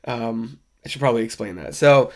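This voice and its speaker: A man's voice: mid-range voice, a little fast. A man with a stuffy, congested voice from a cold, hosting a podcast and chatting casually and wryly as he reviews a show. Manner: wry, conversational, loose and rambling. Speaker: podcast host. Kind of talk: casual solo film review chat.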